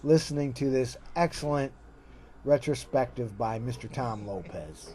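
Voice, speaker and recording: A man speaking as host, giving a measured, appreciative introduction to a recorded interview. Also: medium pitch, animated, warm tone. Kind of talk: spoken introduction.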